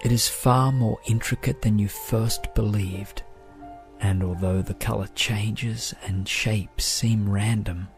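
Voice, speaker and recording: A man narrating a guided meditation in a gentle, soothing, measured way. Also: fairly low pitch, steady tone. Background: music.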